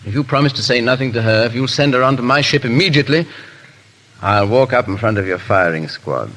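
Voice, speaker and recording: A man's voice: medium pitch, lively intonation, brisk, fairly bright tone. A man acting a suave, foppish English aristocrat hero in a 1930s radio drama, drawling and theatrically polite. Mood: earnest, composed. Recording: reverberant 1930s radio broadcast.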